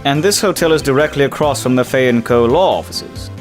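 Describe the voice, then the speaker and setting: A man's voice: mid-range voice, a little fast, close to an everyday voice. A man voicing a smug, arrogant young male prosecutor who speaks in a cutting, condescending way. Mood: formal. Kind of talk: fan dub game voice acting. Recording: with background music.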